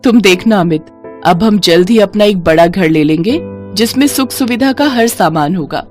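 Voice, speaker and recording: A woman's voice: mid-range voice, animated, normal speed, darkish tone, soft background music, close to an everyday voice. A woman voicing a determined young rich bride who speaks eagerly, mixing in English words. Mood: musing.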